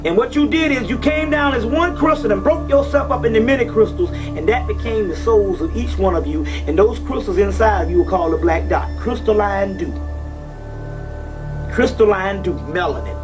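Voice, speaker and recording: High-pitched man lecturing emphatically. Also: moderate pace. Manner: emphatic, profane, preaching, repeats phrases. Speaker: lecturer on esoteric teachings. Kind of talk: fiery informal lecture to a live audience. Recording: distant live lecture recording, music over it. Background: music.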